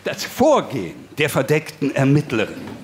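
A man's voice: mid-range voice, wildly swooping pitch, normal speed, slight background noise. A man playing a formal, curt police spokesman giving press statements in an audio drama. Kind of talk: official press conference statement, acted.

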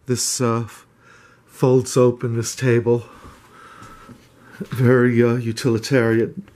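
A man walks through his small wood-paneled cottage with a handheld camera, narrating a casual house tour. His voice stays flat and monotone, with little rise or fall. He talks haltingly, with frequent fillers and restarts. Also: medium pitch. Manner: hesitant, many "uh" fillers and restarts. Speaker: homeowner giving a house tour.

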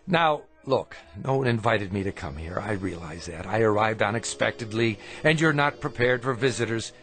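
A man's voice: fairly low pitch, expressive, moderate pace. An actor playing an ill man, weak with fever, who questions and accuses his hosts indignantly in a radio drama. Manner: probing, indignant, sharp questions. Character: ill, defiant male traveler confronting monks. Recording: radio play with music behind.

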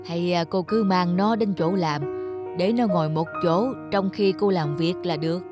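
A woman's voice: on the low side, moderate pace, music in the background, natural voice. A woman voicing a grumpy, aloof middle-aged male neighbour in a cartoon, grumbling and muttering to himself. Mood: reluctant. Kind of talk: cartoon voice acting.

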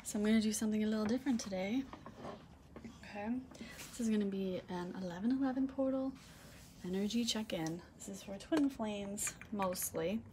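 A woman giving a casual tarot reading to camera, soft-spoken with a low, husky voice that is starting to give out, often asking "okay?"; recorded indoors on a faulty microphone. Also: fairly quick, a little hiss or hum. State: losing her voice, clearing throat. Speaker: tarot reader. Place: indoor room, red curtains and candles on a table. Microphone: broken microphone, quiet.

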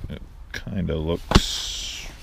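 A man's voice: extremely low pitch, steady tone, very slow, creaky. A middle-aged man thinking aloud as he sorts through a cluttered storage room, filmed on a body-worn camera. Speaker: flea market reseller. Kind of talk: casual narration while sorting. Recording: noisy handheld camera audio.

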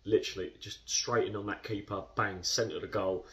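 A man in his thirties speaks in a fairly low voice, talking a post-match interview through in a relaxed, rambling way. He is recorded indoors on a camera set a little back from him. The sound has a slight distance to it. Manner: chatty, measured, lots of fillers. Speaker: football club captain. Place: indoor club room.

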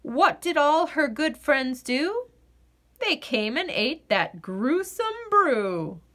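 A woman reading a children's picture book aloud in an expressive, sing-song storyteller's delivery. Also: sits high, takes it slowly.

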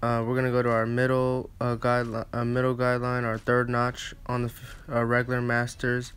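Casting: male barber for a tutorial voice-over, smooth medium-pitched voice, flat casual delivery, slightly halting phrasing. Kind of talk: casual step-by-step instructional narration.

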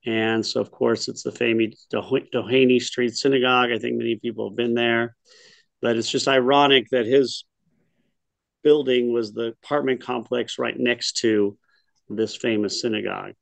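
A man's voice: mid-range voice, creaky, no echo. A middle-aged man teaching an adult history class over a video call, thinking aloud, correcting himself and quizzing his listeners. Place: home study with bookshelves. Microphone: webcam on a video call.

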